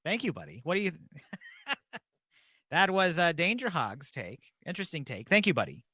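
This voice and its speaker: A man's voice: on the high side, wildly swooping pitch, normal speed, pushed and bright, no echo. A man hosting a call-in show in a casual, chatty style. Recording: music behind the voice.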